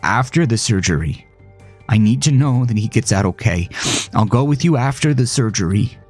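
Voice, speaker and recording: A man's voice: mid-range voice, expressive, normal speed, a little mellow, breathy edge, faint music behind, pitched above the performer's own voice. A man playing an anguished grown son in an audio drama, pleading tearfully with a stammering, desperate manner. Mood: desperate insistence.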